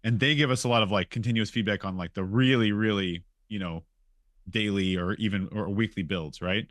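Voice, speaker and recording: A man's voice: fairly low pitch, fairly even intonation, brisk, a bit bright, no echo. A man from a game studio chatting casually on a podcast, informal and emphatic, often repeating his phrases.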